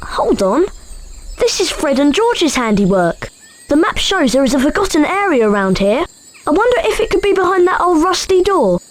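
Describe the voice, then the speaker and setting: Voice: high voice, big pitch swings, moderate pace. A performer playing an eager, cautious, chatty young schoolboy wizard in a video game. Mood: excited curiosity. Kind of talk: video game character acting.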